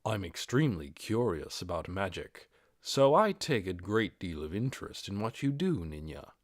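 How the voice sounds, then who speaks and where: A man voicing a measured, discreet undead adventurer leader in a hushed, breathy voice for an audiobook. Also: low voice, sing-song, no room sound, studio quality, pitched below the performer's own voice.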